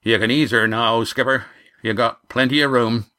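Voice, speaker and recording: A man's voice: mid-range voice, steady tone, moderate pace, pushed and bright, no room sound, clean studio sound, natural voice. A man as a laconic, tough deckhand. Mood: alert, calm. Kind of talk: audiobook character acting.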